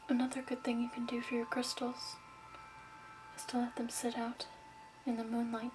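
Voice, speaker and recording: A woman doing an ASMR shopkeeper roleplay in a soft, breathy, whispered voice, gently instructing. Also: on the high side, completely flat delivery, normal speed, over music. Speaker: ASMR performer playing a crystal shop keeper.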